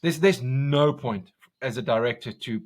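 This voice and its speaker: A South African man with an Afrikaans accent, a guest in an interview, talking loudly and intensely with lots of restarts. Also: mid-range voice, a little slow. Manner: loud, intense, passionate, many restarts. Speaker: guest, commercial director. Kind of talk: casual interview conversation.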